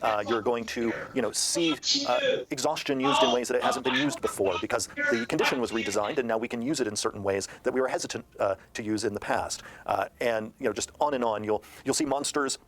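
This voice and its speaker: A man speaking as a game designer in an interview, explaining things in a measured way. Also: mid-range voice, over a noisy crowd. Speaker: game designer discussing the books they made. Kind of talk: interview discussion.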